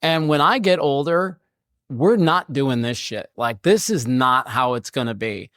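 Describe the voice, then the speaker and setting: A man's voice: mid-range voice, measured pace, a bit bright, dry recording, clean studio sound. A man co-hosting a podcast, chatting candidly and swearing casually while he shares his own childhood. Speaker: podcast co-host. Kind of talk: casual interview chat.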